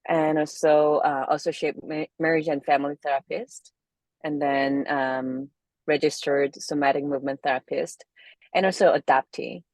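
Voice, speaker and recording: A woman's voice: low-pitched, steady tone, moderate pace, darkish tone, no room sound. A woman from South Korea with a Korean accent, speaking reflectively as an interview guest and often searching for words. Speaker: interview guest, somatic movement therapist.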